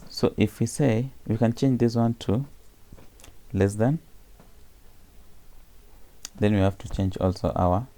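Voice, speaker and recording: A man explaining programming step by step in a screencast tutorial, pausing often with fillers and correcting himself as he goes. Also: on the low side, dark tone.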